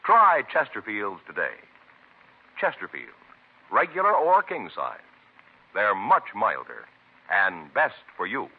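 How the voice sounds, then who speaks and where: A man's voice: lowish voice, wildly swooping pitch, takes it slowly, long pauses, slightly rough. An American man announcing in an emphatic, formal 1950s radio style. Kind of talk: 1950s radio announcing, dramatic scripted intro and ad read. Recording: muffled vintage radio recording with music.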